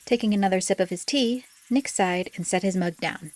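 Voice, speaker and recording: A woman's voice: mid-range voice, fairly quick, no room sound. A woman reading scripted story narration as the narrator of an audiobook drama.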